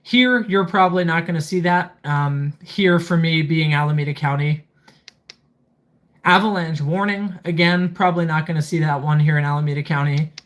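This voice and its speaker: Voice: medium pitch, normal speed. A reviewer hosting a casual live product walkthrough, methodically reading items out and commenting on them. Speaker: livestream host reviewing gadgets.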